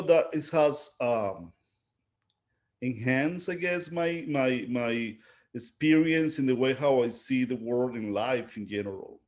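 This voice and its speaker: Halting middle-aged man with Venezuelan accent. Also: medium pitch. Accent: Venezuelan Spanish. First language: Spanish. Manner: halting, searching for words. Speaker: visual artist being interviewed. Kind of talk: unscripted interview talk, reflective.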